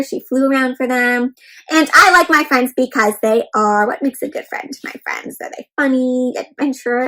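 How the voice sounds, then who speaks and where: Female kids' storybook host, high-pitched, animated, interactive delivery aimed at little listeners. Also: rough recording. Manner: animated, asks listeners questions, addresses them as friends. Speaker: children's read-aloud host. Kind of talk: interactive picture book read-aloud for young children.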